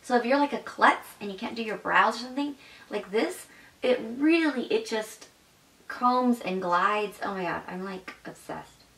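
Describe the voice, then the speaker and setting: A woman's voice: mid-range voice, normal speed, fairly bright tone, recorded from a distance. Young woman beauty vlogger, bubbly and gushy, roomy home recording, set back from the mic. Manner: bubbly and gushing, demonstrating makeup while talking. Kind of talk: casual product review. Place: home makeup room indoors.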